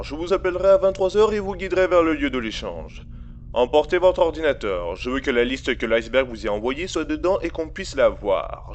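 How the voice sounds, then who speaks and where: Cold blackmailing villain guy, a man acting it, smooth and taunting, voice goes up and down a lot. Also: on the high side, dull audio, a little hiss or hum. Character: cold scheming male villain, mocking blackmailer. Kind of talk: audio drama acting.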